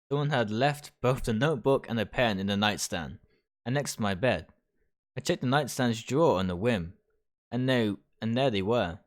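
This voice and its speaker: A man livestreaming a visual novel, reading its text aloud and stumbling over phrases now and then. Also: medium pitch. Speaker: video game streamer.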